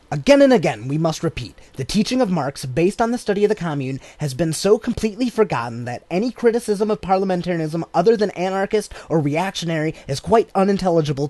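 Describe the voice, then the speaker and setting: A man reading a political book aloud as an audiobook, with measured, emphatic delivery. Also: fairly high pitch, darkish tone, no room sound. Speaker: audiobook reader.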